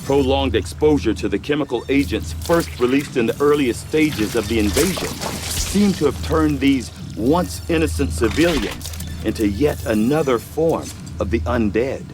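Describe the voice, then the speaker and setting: A male actor voices an erudite research scientist from a video game. His mid-pitched delivery is formal, measured and self-assured. Music plays clearly behind him. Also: animated, close to an everyday voice. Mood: clinical, intrigued. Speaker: scientist, autopsy narrator. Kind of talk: scripted video game cutscene acting.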